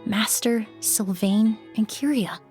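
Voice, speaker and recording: A composed young perfumer from a game, voiced by a woman, with a mid-range voice. She speaks calmly and deliberately, reasoning each point through. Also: mid-range voice, faint music behind, natural voice. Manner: calm, measured, reasoning. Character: composed young woman perfumer and crime-scene cleaner, rational.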